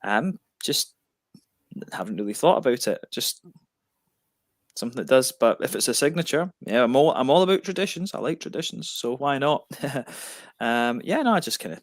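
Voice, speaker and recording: A man's voice: medium pitch, expressive, moderate pace, no room sound, studio quality. A man co-hosting a casual beer livestream, joking around, laughing often and reading out viewer comments, sounding tired. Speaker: co-host of a beer review livestream.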